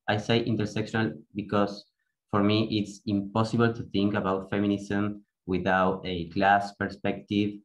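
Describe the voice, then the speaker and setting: A young adult from Argentina with an Argentine Spanish accent, giving an earnest, deliberate conference talk over a video call from a small home room, through an earphone mic. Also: low voice, rough recording. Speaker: activist speaker.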